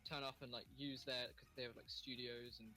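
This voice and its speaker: A young man from the United Kingdom, a guest developer, talking in a relaxed, hesitant way with frequent ums. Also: medium pitch, a little fast, faint music behind, low-quality recording. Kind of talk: casual interview chat.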